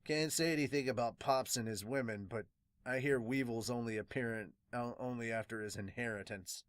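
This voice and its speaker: A man playing a weary, solemn pirate doctor in a fan manga dub, subdued, measured and earnest. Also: mid-range voice, no room sound, lightly acted. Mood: solemn, reflective. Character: weary, solemn veteran pirate doctor recounting his late captain.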